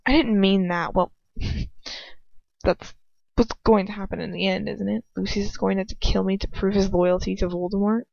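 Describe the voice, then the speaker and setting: A woman reading as a frightened, despairing young woman who argues with herself in a halting, anguished way. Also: medium pitch, steady tone, creaky, darkish tone, dry recording, close to an everyday voice. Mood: torn, arguing. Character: frightened, despairing young woman narrating her own inner turmoil. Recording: dull, muffled lo-fi home recording.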